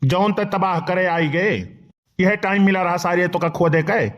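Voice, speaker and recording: A man's voice: high-pitched, unhurried. A man voicing a teasing village fellow in a comedy cartoon, speaking colloquially with an Awadhi accent. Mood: irritated.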